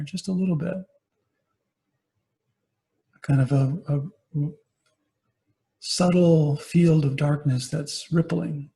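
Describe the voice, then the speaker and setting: A man guiding a meditation as a teacher, giving gentle, unhurried instructions with pauses between phrases. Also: a little high, poor audio. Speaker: meditation teacher, Buddhist monastic. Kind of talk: guided body scan meditation.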